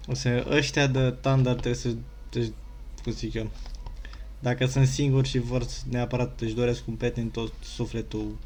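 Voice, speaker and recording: Flat-toned Romanian man, casual gamer. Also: mid-range voice. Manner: offhand, rambling, mild swearing. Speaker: gaming streamer. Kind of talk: casual muttered game commentary. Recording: faint noise, keyboard clicks.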